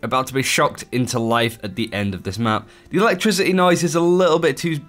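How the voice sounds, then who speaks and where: A man's voice: mid-range voice, quick pace, fairly bright tone, faint music behind. A man presenting a gaming video in a chatty, explanatory voice-over style. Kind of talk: gaming news commentary voice-over.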